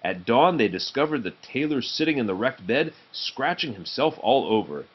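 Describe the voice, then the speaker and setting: A man reading a children's storybook aloud in an expressive narrating style. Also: medium pitch, moderate pace, husky, highs cut off. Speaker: storybook reader.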